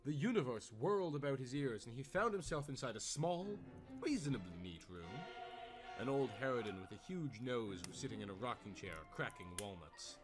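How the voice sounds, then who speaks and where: Guy reading a tale dramatically, very expressive pitch, faint music behind him. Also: normal speed. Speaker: storyteller, narrator. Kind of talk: dramatic scripted story narration.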